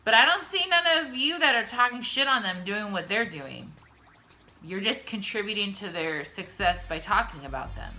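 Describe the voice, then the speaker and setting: A woman's voice: medium pitch, expressive, moderate pace, sharp tone, faint music behind. A young woman with a Californian American accent, tipsy, telling stories and answering questions in a brash, sweary, slangy way with dramatic emphasis. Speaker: YouTube vlogger. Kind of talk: casual storytime Q&A.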